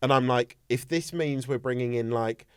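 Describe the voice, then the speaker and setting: A man's voice: medium pitch, normal speed, clear, resonant tone, no room sound, clean studio sound. A young man hosting a podcast, chatting casually and joking around with silly impressions. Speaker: podcast host. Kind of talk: casual chat.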